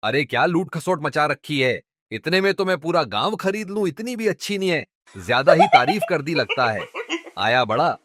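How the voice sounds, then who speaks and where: A man's voice: on the high side, big pitch swings, moderate pace, fairly bright tone, dry recording. A man playing a sarcastic travelling merchant in a cartoon story, haggling with mocking, exaggerated comic delivery. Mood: mocking outrage. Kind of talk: Hindi cartoon story dubbing.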